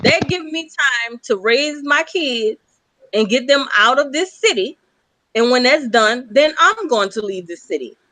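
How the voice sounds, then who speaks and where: A woman's voice: high voice, expressive, measured pace, pushed and bright, rough recording. A woman speaking earnestly and insistently in a casual call-in conversation.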